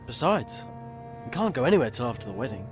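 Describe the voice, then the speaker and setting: A man's voice: medium pitch, sing-song, fast talker, lightly acted. A man playing a blunt, laid-back teenage wizard sidekick with a British accent in a video game. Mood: casual. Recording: game music behind.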